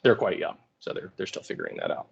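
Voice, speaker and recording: A man's voice: fast talker, slight background noise. A man teaching in a casual lecture style, with informal, wry and colloquial paraphrasing. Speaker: high school English teacher.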